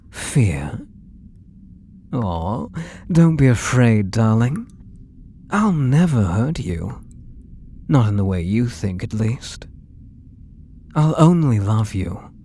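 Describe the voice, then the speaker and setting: An obsessive British male artist played by a man murmurs to his beloved in an ASMR roleplay. His soft, breathy voice is unhurried and very expressive in pitch, sliding into whispers with possessive tenderness. There is noticeable background noise. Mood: soothing, sinister. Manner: tender, slow, menacingly intimate.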